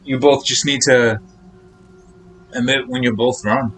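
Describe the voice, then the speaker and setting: A man's voice: mid-range voice, fairly even intonation, a little slow, fairly bright tone, a little hiss or hum. A man livestreaming a game, talking casually and bluntly as he hands out advice to his chat. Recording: game music faintly behind the voice. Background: game audio and music.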